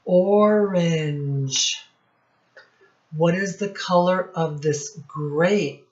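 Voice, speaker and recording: A woman teacher speaking to young children in a singsong instructional style, with simple phrases and deliberate repetition. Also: on the low side, roomy sound. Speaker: children's teacher and read-aloud host. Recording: dull, lo-fi sound.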